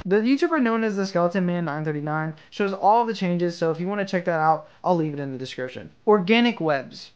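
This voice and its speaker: A man's voice: sits high, lively intonation, fairly quick, few pauses, muffled recording, slight background noise. A man hosting a video with casual scripted narration. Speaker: video host.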